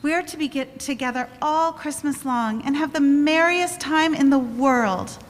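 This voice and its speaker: A woman's voice: high-pitched, measured pace. A woman playing an eager, affectionate young sister in a 1940s-style radio play, bubbly and tender. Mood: joyful. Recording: reverberant hall.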